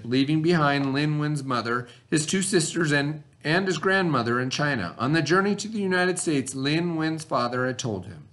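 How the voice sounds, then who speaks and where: A man's voice: on the high side, a bit bright. Male teacher reading a lesson aloud. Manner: instructional, pausing to explain and ask questions. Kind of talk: read-aloud lesson with explanatory asides.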